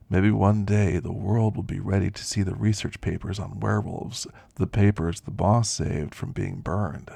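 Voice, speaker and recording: Man voicing a gravelly, deep old werewolf. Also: darkish tone, dry recording, heavily acted. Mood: wistful, reflective. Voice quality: gravelly, husky low voice. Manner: unhurried, folksy, reminiscing with dramatic pauses. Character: elderly male werewolf, folksy, world-weary storyteller, kindly. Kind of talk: ASMR roleplay monologue.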